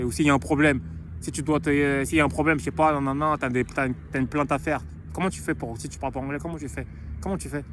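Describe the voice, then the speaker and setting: A man's voice: medium pitch, slight background noise. Rambling slangy young Frenchman. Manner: slangy and rambling, many restarts and repeated phrases. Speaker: YouTuber promoting his book. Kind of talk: casual vlog monologue.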